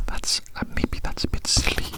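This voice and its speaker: This is an ASMR performer speaking entirely in a breathy whisper, very close to the microphone. The delivery rambles along, circling back to repeat phrases and addressing the listener as a friend. Soft scratching sounds sit behind the voice. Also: normal speed.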